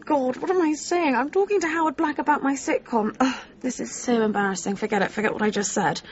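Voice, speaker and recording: A woman's voice: sits high, fast talker, dull audio, close to an everyday voice. A young woman playing an eager, self-conscious agency assistant who hopes to write, in a naturalistic radio comedy-drama. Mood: embarrassed, eager. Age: young adult.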